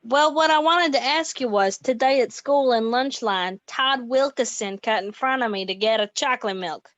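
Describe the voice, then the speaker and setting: Petulant mob-family schoolboy for radio comedy, voiced by a woman: fairly high, slightly dull sound. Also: normal speed, fairly bright tone, lightly acted. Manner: petulant, childish complaining. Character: sulky schoolboy son of a mobster. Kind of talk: amateur old-time radio comedy play.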